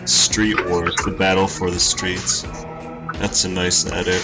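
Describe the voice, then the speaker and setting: A man streaming, chatting casually and reading posts aloud in a dry, monotone way. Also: low voice, measured pace, over music. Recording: noisy home stream recording.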